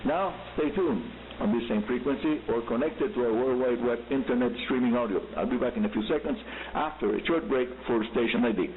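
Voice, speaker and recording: A man with a Cuban accent and Spanish as his first language, hosting a scripted radio show and addressing listeners directly and deliberately. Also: mid-range voice. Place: radio broadcast picked up on shortwave. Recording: hissy, humming narrow-band shortwave radio reception.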